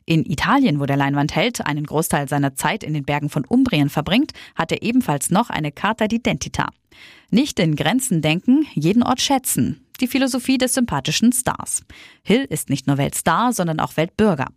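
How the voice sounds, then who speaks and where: A female newsreader with a somewhat low voice reads scripted news in an upbeat tabloid manner. The studio sound is dry and close. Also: animated, moderate pace, dry recording.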